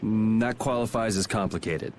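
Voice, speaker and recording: A man's voice: lowish voice, moderate pace, a little mellow, slight background noise, close to an everyday voice. A man playing a laid-back young swordsman in a video game, speaking in a dry, matter-of-fact way. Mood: calm, wry.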